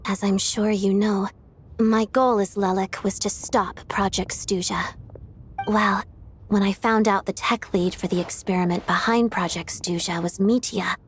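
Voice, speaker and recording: An actress voicing a secretive, earnest female undercover operative in a video game, with a measured, confiding delivery. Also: mid-range voice, fairly even intonation, breathy edge, close to an everyday voice. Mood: grave, recounting.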